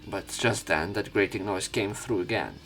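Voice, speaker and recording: A man narrating a visual novel aloud as a let's play, reading haltingly and slipping into casual commentary. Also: fairly low pitch, low-quality recording. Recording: game music behind.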